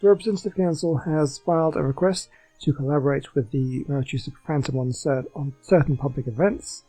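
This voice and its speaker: A man's voice: on the high side, fairly quick, dark tone, faint music behind, low-quality recording. A man doing a casual video game playthrough, reading in-game text aloud.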